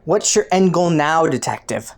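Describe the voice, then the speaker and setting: Woman playing a low-voiced, flirty, taunting rogue. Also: animated, normal speed, a little mellow. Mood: mocking, playful. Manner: playful, teasing, sardonic, sultry. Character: flirty, taunting, clever underworld rogue teasing a detective. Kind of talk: roleplay audio drama, intimate monologue to the listener.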